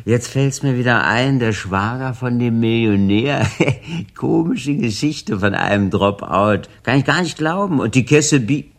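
An actor playing a cocky, cowardly small-time crook and informant in a German radio crime drama, boastful, slangy and whining. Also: male voice, medium pitch, lively intonation, unhurried. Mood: excited, gossipy.

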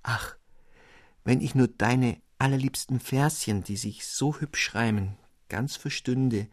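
A man's voice: medium pitch, takes it slowly, no echo, studio quality, close to an everyday voice. A man voicing a naive young noblewoman. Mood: fond.

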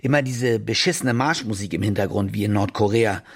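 An actor in a radio drama playing a cynical German war reporter who talks in a sullen, combative, sardonic manner. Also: male voice, lowish voice, fairly quick, breathy edge. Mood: scornful. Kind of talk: radio drama acting, naturalistic dialogue.

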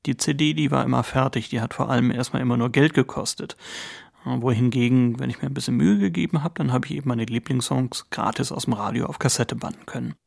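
A man's voice: mid-range voice, fast, vocal fry. A man talking informally as an interviewee.

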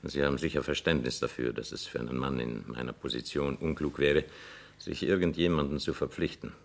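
Haughty nobleman for a radio play, super deep and creaky, formal and bossy. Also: full character voice. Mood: businesslike, assured. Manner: formal, imperious, measured. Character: haughty, commanding nobleman client of a London banker. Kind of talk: German radio drama acting.

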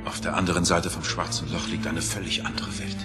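An earnest professor full of scientific wonder played by a man in a space radio drama. The voice is very low and reverent. Loud music runs behind every line. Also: flat delivery, fairly quick, natural voice. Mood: awestruck. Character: earnest, awestruck professor, devoted to scientific truth. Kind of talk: dramatic audio play acting. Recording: loud orchestral music over the voice.

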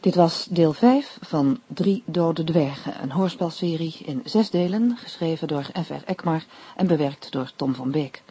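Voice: mid-range voice, measured pace, mellow, breathy edge, dry recording. An announcer reading the closing credits of a radio serial in a scripted, formal style.

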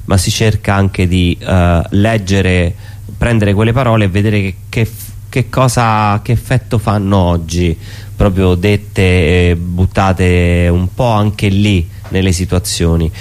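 A man talks as an interviewed theatre performer. His voice is very deep, and he thinks aloud in a reflective, hesitant way, often repeating phrases. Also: fairly even intonation, slow, a little mellow. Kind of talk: casual reflective conversation.

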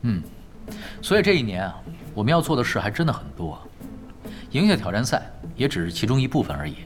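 Lively-pitched, confident young male gamer. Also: quick pace. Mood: relaxed. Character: calm, confident young male pro gamer planning a comeback. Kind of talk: Chinese audio drama acting. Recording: studio voice over clear background music.

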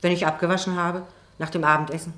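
An actress playing a defensive, prim neighbour on the witness stand in a radio drama, guarded, indignant and reluctant. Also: female voice, on the low side, flat delivery, fast, sharp tone. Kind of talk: German radio courtroom drama acting.

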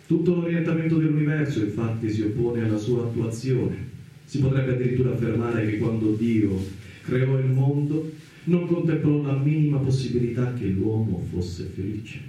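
A man's voice: mid-range voice, normal speed, warm tone, lightly acted. An actor playing a stern, pessimistic male psychoanalyst in a theatrical radio drama, declaiming his admonishments. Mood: grave, dismissive. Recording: echoey, distant, roomy sound.

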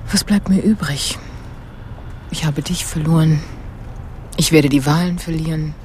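A woman playing a despairing, lonely politician in a radio drama, speaking in a hushed, emotional way. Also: sits low, steady tone, a little slow, a little hiss or hum.

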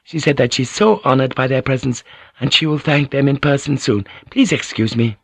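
Man playing a high-voiced deferential maid. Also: moderate pace, vocal fry, breathy edge, no room sound. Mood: proud, polite. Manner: deferential, formal, apologetic. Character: polite, dutiful, proud young maidservant.

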